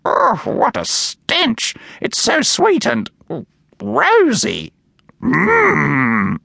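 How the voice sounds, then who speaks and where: A man voicing an excitable small female bird who squawks and chatters in a high, shrill voice. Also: drawn-out words, creaky, slightly rough, no room sound, clean studio sound, full character voice. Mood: excited chatter.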